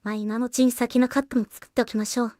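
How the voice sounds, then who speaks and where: A woman's voice: fairly high pitch, level tone, fast, warm tone, no echo, clean studio sound. A woman thinking aloud in short fragments as she works, casually commenting on her creative process as a solo creator. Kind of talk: casual muttering to self while working.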